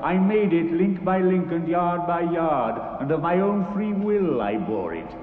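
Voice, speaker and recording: An actor playing a grave, foreboding ghost with formal, declamatory delivery in an old radio drama. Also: male voice, fairly high pitch, lively intonation, phone-quality sound. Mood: mournful. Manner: slow-burning, declamatory, formal. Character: solemn, unearthly male ghost, grave and foreboding. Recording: thin vintage radio, long echoing reverb.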